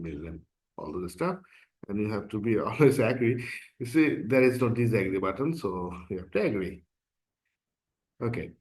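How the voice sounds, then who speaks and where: A man from Bangladesh, a non-native speaker of English whose first language is Bangla, casually explaining an application form step by step as an instructor. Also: lowish voice. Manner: casual and explanatory, frequent "uh" and "okay". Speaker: course instructor. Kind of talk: screen-recorded tutorial walkthrough.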